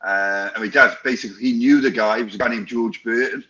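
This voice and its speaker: A man's voice: medium pitch, steady tone, quick pace, sharp tone, highs cut off. A man, a boxing promoter appearing as a guest, telling his story informally with many restarts. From Stoke-on-Trent area, England.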